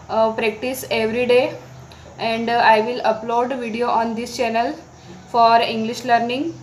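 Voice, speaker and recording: A woman with an Indian accent and Marathi as her native language, speaking halting, simple learner's English in an informal talk to viewers. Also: fairly high pitch, rough recording. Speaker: English-practice vlogger. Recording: background noise.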